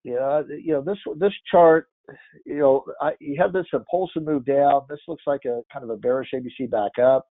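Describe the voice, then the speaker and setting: A man's voice: medium pitch, moderate pace, no echo. Congested man talking charts on a tinny line. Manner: rambling, thinks aloud with frequent restarts. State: congested, stuffy-nosed. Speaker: market analyst. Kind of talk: casual chart-by-chart market commentary. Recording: tinny phone-like dry audio.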